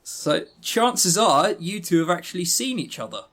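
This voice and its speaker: A man's voice: high-pitched, big pitch swings, moderate pace, fairly bright tone. A man co-hosting a podcast in casual chat, joking and teasing with a mock-serious manner. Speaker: podcast co-host.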